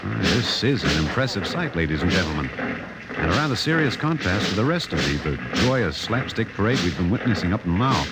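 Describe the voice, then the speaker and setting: An actor playing a radio announcer describing a parade live, in a 1950s radio drama. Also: male voice, low-pitched, fairly quick. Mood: awed. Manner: excited live commentary, rising to alarm. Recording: old radio broadcast with loud noise.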